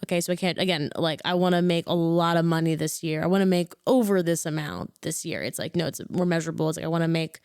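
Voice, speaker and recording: A woman in her twenties, an actor and comedian, telling her story in a rambling, self-correcting way, growing animated when excited. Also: low voice, quick pace, hardly any pauses, clear, resonant tone, no echo, clean studio sound. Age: 20s. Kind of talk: casual interview chat.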